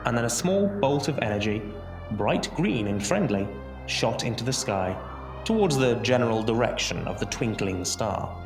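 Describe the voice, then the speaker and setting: Narrator for audio drama: male, lowish pitch, theatrical measured delivery, roomy sound over a music bed. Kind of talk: dramatic scripted storytelling narration. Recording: roomy echo under music.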